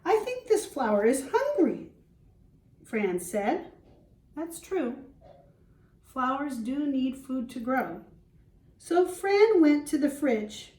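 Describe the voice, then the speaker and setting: A woman schoolteacher reading a children's storybook aloud, explaining simply and pausing to put questions to young listeners. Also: sits high, big pitch swings, a little mellow. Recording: roomy echo, distant microphone.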